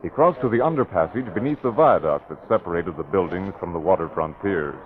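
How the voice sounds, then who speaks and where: A man narrating a radio drama in a measured, dramatic storytelling style. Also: sits low, expressive, background noise. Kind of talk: scripted narration. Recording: tinny old radio broadcast.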